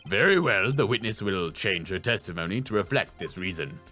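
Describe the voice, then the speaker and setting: A man's voice: deep voice, lively intonation, a little fast, bright, forceful tone, pitched below the performer's own voice. A man voicing a stern, pompous older male judge in a video game, formal and authoritative, over music. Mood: grave.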